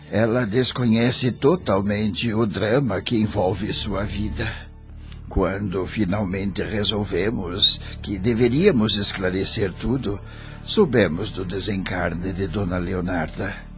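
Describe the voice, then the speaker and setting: A man playing a courteous middle-aged father in an audio drama, formal, deliberate and sincere. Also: lowish voice. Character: courteous, grave adoptive father Nelson, solemn and sincere. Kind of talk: audio novela acting.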